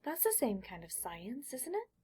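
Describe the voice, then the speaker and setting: A woman's voice: on the high side, wildly swooping pitch, moderate pace, warm tone, breathy edge, pitched above the performer's own voice, exaggerated voice. A woman voicing a mild, uncomprehending upper-class mother with a dismissive manner in an audiobook story. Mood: puzzled.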